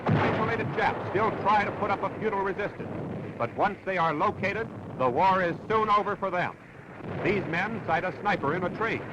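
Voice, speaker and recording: A man's voice: high-pitched, normal speed. An American man reading a scripted wartime newsreel in a dramatic, declamatory 1940s style. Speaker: newsreel narrator. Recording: dull, very noisy 1940s newsreel soundtrack.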